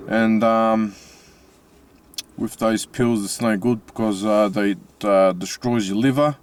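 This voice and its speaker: An Australian man with a slightly mixed accent from his Italian family, talking casually from inside his car with many pauses and restarts. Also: lowish voice, fairly even intonation, smooth. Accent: Australian with Italian-family influence. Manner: halting, many fillers and restarts. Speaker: vlogger. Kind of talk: casual personal vlog.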